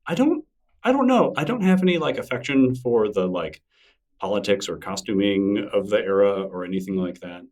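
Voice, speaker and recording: Deep-voiced man musing at length. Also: lively intonation, normal speed, a little mellow, far from the mic. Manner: thoughtful, rambling with many fillers and restarts. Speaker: interview guest, writer. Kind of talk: casual interview conversation.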